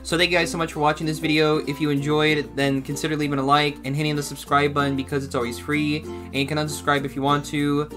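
A man's voice: fairly high pitch, level tone, quick pace, hardly any pauses, music in the background. A young man giving casual reaction commentary in a rambling way, often repeating and rephrasing himself, recorded in a small room at home. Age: young adult. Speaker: reaction video host.

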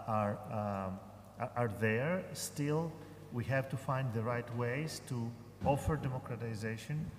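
Male professor, panel remarks, halting delivery; large reverberant hall. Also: mid-range voice. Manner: hesitant, many fillers and restarts. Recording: echoey hall, faint room noise.

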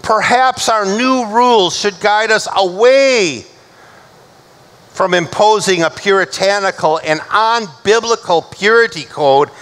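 Bright-voiced man preaching slowly from a pulpit. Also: high voice. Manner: measured, emphatic preaching, slowing for recited scripture. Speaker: pastor. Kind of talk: sermon. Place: church sanctuary, at a pulpit.

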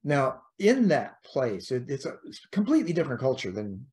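A man's voice: mid-range voice, wildly swooping pitch, moderate pace, a little mellow. A middle-aged man hosting a podcast, asking long rambling questions over a video call. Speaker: podcast host. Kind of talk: casual interview chat.

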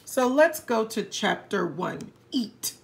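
A woman reading a picture book aloud expressively, pausing to comment and address young listeners. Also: a little high, big pitch swings, creaky.

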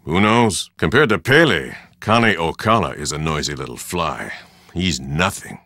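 An actor playing a brash, easygoing middle-aged Hawaiian surf shop dad in a video game, talking in colloquial, clipped phrases. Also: male voice, sits low, animated, measured pace, bright, forceful tone, no echo, studio quality, full character voice. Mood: casual, dismissive. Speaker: game character: beach shop owner.

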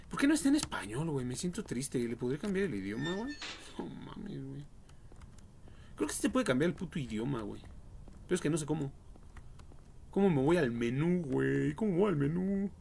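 Young male Mexican streamer, jokey and crude, fry-heavy voice, casual play-by-play over faint noise. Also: mid-range voice, very animated, normal speed, darkish tone. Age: young adult. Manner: slangy, foul-mouthed, jokey. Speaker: livestream gamer. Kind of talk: casual game livestream commentary.

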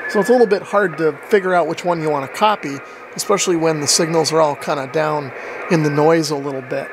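Higher-pitched man explaining over clear background noise. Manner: explanatory, informal. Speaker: ham radio hobbyist and video host. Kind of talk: casual hands-on radio demonstration. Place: home basement radio workshop.

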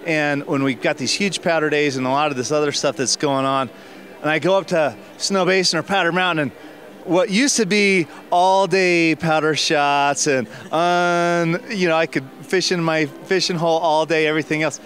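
A middle-aged man answering an interviewer's questions in a theater lobby, earnest and a little halting. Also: on the high side, steady tone, a bit bright. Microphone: handheld mic held by interviewer.

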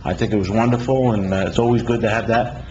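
A middle-aged councilman speaks in a fairly low voice at a public meeting. His delivery is informal, halting and complimentary, on a slightly dull recording. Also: steady tone, darkish tone. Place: indoor council chamber. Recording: slightly muffled, faint room noise.

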